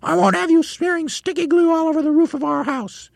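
A man's voice: brisk, creaky, no echo, clean studio sound. A man doing a screeching, spiteful old woman. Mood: shrill gleeful. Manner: shrill falsetto, screechy.

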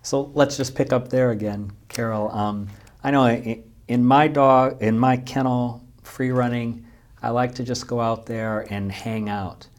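A woman interviewing a fellow dog kennel owner, speaking in a relaxed, reflective way. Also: very deep voice, measured pace. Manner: reflective, lots of backchannel. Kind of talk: casual interview.

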